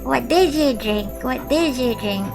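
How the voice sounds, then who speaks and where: A woman chatting to her stream in a playful, giggly way. Her voice sits a little high and she talks slowly and unhurriedly, with game music playing clearly behind her. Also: lively intonation, darkish tone. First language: Filipino. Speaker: VTuber streamer. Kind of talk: casual gameplay commentary.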